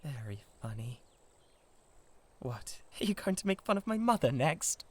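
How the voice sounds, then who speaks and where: A man's voice: a little high, big pitch swings, unhurried, a little mellow. A British man playing a huge, lovesick, possessive giant in a comedic ASMR roleplay, theatrical and easily exasperated. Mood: irritated.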